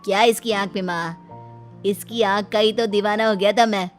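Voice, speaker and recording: A woman's voice: medium pitch, steady tone, moderate pace, faint music behind, close to an everyday voice. Woman playing devoted young cartoon husband. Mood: lovestruck. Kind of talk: Hindi cartoon story acting.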